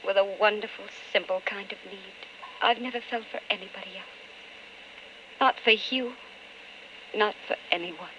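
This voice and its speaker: An anxious, devoted wife, played by an actress in a vintage radio melodrama. She speaks earnestly and broodingly at a medium pitch. The old recording is muffled, with clear noise over it. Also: measured pace, long pauses, close to an everyday voice. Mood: yearning, intense. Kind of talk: old-time radio drama acting and brooding narration.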